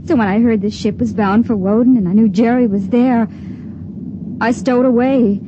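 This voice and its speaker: Actress doing a young stowaway girl, fairly high, soft, halting, dull hissy old radio play. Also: fairly even intonation, lightly acted. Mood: tender. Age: young adult. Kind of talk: 1950s radio drama acting. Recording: dull vintage radio broadcast with hiss.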